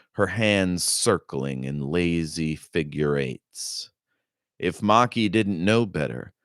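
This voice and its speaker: A man narrating his own novel as an author, with measured, dramatic phrasing. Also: deep voice, no room sound, clean studio sound. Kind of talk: audiobook-style narration of fiction.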